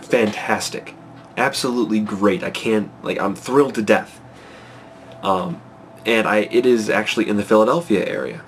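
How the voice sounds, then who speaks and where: A young man in his twenties, congested from a cold, rambling anxiously and excitedly with many fillers in a casual to-camera vlog, recorded on a close webcam in a small bedroom at night. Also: on the low side, moderate pace, slight background noise. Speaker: vlogger. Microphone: webcam at close range.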